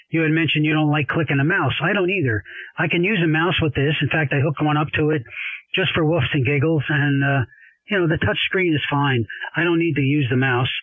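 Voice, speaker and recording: A man's voice: fairly high pitch, breathy edge, no echo. A man chatting casually over ham radio in a rambling, folksy, enthusiastic way, as an amateur operator. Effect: transmitted over ham radio. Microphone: desk radio microphone. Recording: narrow phone-like shortwave radio sound.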